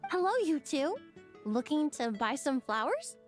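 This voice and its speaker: A woman's voice: sits high, sing-song, a little slow, faint music behind. A woman playing a friendly young perfumer in a video game, speaking in a polite, enthusiastic and thoughtful way. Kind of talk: video game character voice acting.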